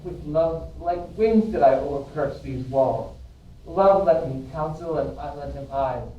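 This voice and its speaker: A man's voice: a little high, a little slow, dull audio, lightly acted. A man reading the part of a lovestruck, ardent young man in a Shakespearean verse drama. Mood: ardent, bold. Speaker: amateur reader in a school play. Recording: roomy, distant mic, faint noise.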